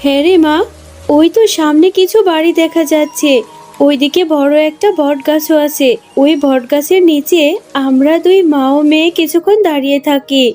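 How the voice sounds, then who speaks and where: A gentle jackal mother from a children's cartoon, acted by a woman. She speaks in a high, earnest, pleading voice full of tenderness. Music runs behind her. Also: steady tone, normal speed, natural voice. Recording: music under the voice.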